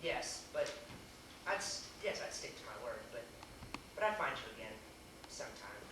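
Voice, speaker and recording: A man's voice: medium pitch, very animated, moderate pace, lightly acted. A young man acting an earnest, indignant suitor in a stage comedy, with an emphatic delivery. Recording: echoey theater, distant camera, faint hiss.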